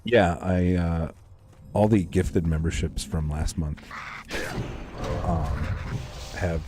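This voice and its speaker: A man live-streaming a video game from home, talking to his chat in a soft, unhurried, calming voice and thanking viewers as they come in. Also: over music. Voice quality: calming low voice. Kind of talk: casual live-stream chat while gaming. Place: home room.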